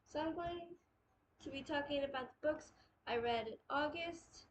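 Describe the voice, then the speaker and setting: Young woman, nasal from a cold, higher voice, rambling casual vlog delivery, faint hiss behind. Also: normal speed. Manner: rambling, many ums and restarts. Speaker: booktube host. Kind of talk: casual monthly reading wrap-up.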